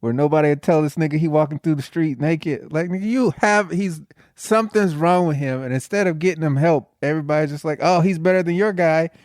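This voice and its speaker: A man co-hosting a casual podcast, joking and telling animated stories. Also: fairly high pitch, brisk, no echo, clean studio sound. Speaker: podcast co-host.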